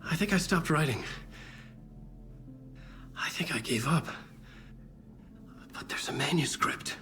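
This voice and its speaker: A man playing an anxious, haunted novelist in a supernatural video game, speaking in a brooding, halting, earnest way. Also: fairly high pitch, animated, sharp tone, heavily acted. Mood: brooding, troubled. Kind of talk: cinematic game monologue and dialogue. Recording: dramatic music behind the voice.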